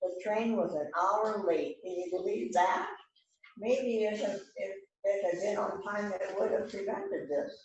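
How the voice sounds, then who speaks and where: A woman's voice: medium pitch, measured pace, darkish tone, highs cut off, close to an everyday voice. A woman reading the part of an eager visiting friend in an amateur mystery play rehearsal. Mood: helpful. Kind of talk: amateur readers theater rehearsal, script reading. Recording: very echoey room, distant mic, faint noise.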